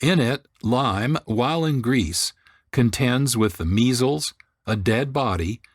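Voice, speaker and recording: A man's voice: medium pitch, lively intonation, slow pace, dry recording, studio quality. A man hosting a radio programme with scripted narration. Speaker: host.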